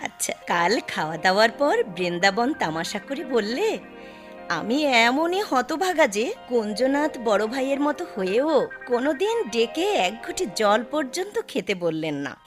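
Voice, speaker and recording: An actress playing an elderly, doting village mother in a radio drama, plaintive and halting. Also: a little high, sing-song. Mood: kindly, chatty. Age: older. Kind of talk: Bengali radio drama acting. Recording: music behind the voice.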